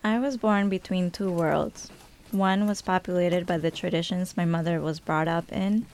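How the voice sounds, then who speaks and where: A woman narrates with a somewhat low voice, calm and deliberate. She tells her story like a seasoned radio producer, steady and evocative. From Colombia. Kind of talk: scripted personal narration.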